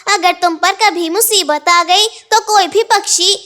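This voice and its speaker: Small female cartoon bird, woman performer: piercingly high, bright, sensible and reproachful. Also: unhurried, full character voice. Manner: admonishing. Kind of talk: Hindi kids' cartoon dubbing.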